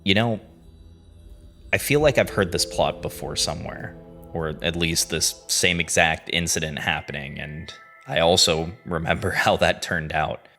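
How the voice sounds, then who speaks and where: Very deep-voiced man narrating dramatically. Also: sharp tone, soft background music. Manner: deliberate phrasing with dramatic pauses. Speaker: narrator and host of a horror series review. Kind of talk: dramatic scripted storytelling narration.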